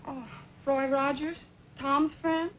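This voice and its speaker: A woman playing an earnest, soft-hearted young woman in an old western radio drama. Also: sits high, steady tone, slow. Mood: apologetic. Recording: muffled, hissy old radio recording.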